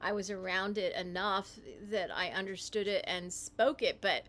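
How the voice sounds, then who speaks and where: A woman talking alone on a livestream from her home, rambling with halting restarts. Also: medium pitch, a bit bright. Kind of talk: casual livestream monologue.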